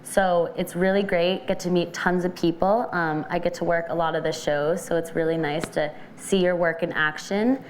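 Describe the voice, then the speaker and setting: Chatty young woman with a low voice. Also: fairly even intonation, moderate pace, hardly any pauses, slight background noise. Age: 20s. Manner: upbeat, chatty, says "basically" and "like". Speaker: guest. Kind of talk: casual studio interview. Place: TV studio.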